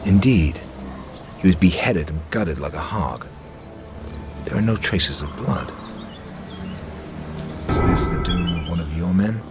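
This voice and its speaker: Low, grim male inquisitor. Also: animated, measured pace, long pauses, music in the background. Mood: dry, probing. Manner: terse, dry, matter-of-fact. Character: grim, sharp-tongued male inquisitor investigating murders. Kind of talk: dramatic video game cutscene acting.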